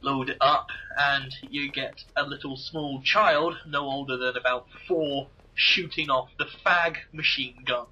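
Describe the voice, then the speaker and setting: A man's voice: mid-range voice, fairly even intonation, unhurried, hardly any pauses, pushed and bright, slightly rough, muffled recording. A man with an English accent, joking, ranting and telling anecdotes in casual gaming commentary chat. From England. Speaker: co-host.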